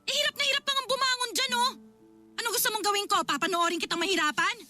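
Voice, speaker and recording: Nagging older sister, very high voice, bright and forceful, worried, insistent, radio drama. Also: female voice, animated, very fast, heavily acted. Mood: alarmed, demanding. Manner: scolding, insistent, emotional. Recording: music behind the voice.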